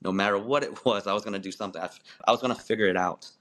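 A man in his forties with a low voice talks through his story on a podcast, circling back with restarts and "you know"s, laughing now and then. It is relaxed, unscripted interview talk. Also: very fast. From born in Malaysia, raised in the United States. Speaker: wrestling referee, podcast guest.

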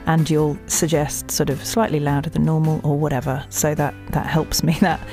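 A woman's voice: low voice, flat delivery, moderate pace, dark tone, soft background music. A woman with a southern English accent chatting casually in an interview, in a jokey, agreeable way. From England. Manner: jokey, agreeable, laughs often. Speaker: voice actor and audiobook narrator.

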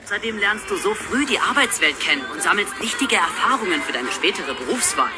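A cheeky, persuasive teenage girl acted by a woman in a children's radio play. Her pitch swings in a very lively way, over loud music. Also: fairly quick, close to an everyday voice. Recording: played-back audio drama, loud music.

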